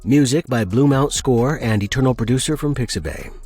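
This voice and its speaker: A man's voice: medium pitch, expressive, a little fast, darkish tone, slightly rough, soft background music. A man hosting a story podcast and reading scripted narration. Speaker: podcast host and story reader.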